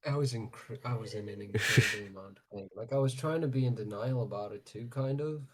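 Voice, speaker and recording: A man co-hosts a casual podcast, speaking into a headset at an even middle pitch. He drops dry, teasing one-liners between longer stretches of chat. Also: fairly quick. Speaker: podcast co-host.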